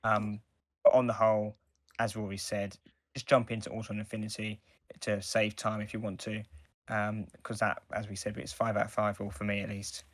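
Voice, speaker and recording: A man with a fairly low voice talks casually as a podcast co-host. He gives his reviews haltingly, often circling back mid-sentence. The sound is dry, with no room echo. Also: little pitch movement.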